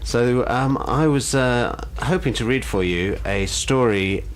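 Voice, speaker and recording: A man speaking as a guest storyteller, polite and measured, explaining and reading aloud with some hesitant phrasing. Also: mid-range voice, slight background noise.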